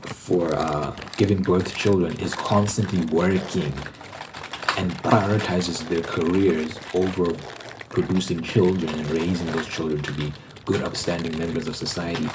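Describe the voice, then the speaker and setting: Male ASMR host, low-pitched, explanatory and rambling, with clearly noisy sound of clicks and typing. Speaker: solo host of a rambling channel.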